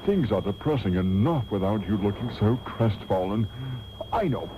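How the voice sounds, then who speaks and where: Man playing a pompous toff, lively pitch. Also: normal speed, natural voice. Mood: cheerfully callous. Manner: pompous, plummy, breezy. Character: pompous, callous upper-class gentleman, breezily selfish. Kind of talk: comedic radio serial acting. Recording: dull, noisy old radio tape.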